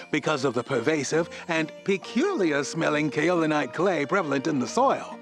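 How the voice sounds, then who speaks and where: Chirpy civic booster man for a radio comedy promo: high voice, swooping delivery, salesman polish. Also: heavily acted. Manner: upbeat, salesman-like, theatrical. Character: cheerful small-town tourism promoter, folksy civic booster. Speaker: tourism board spokesman. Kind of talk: scripted promotional narration.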